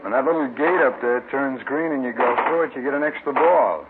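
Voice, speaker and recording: A man's voice: medium pitch, a little fast, close to an everyday voice. An actor playing a tough, evasive businessman, curt and impatient, in a mid-century radio crime drama. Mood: guarded, irritated. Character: tough, evasive meat wholesaler bookie's client playing pinball. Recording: noisy, muffled old radio broadcast recording.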